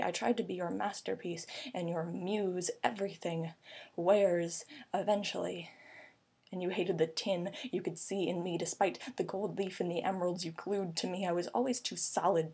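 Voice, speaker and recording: A young adult woman reciting a slam poem in an earnest, intimate way with deliberate phrasing. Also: lowish voice, a little mellow, husky. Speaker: slam poet.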